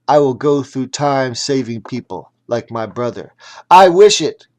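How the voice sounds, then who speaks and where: Man acting a loud, earnest young cowboy hero. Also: mid-range voice, expressive, unhurried, close to an everyday voice. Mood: impassioned. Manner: loud, declaiming. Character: heroic young kung fu cowboy boy, earnest.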